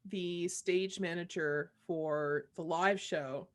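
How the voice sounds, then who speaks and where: A woman from an audio drama cast, chatting casually in a giggly, animated manner. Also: on the low side, takes it slowly. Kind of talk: casual group chat.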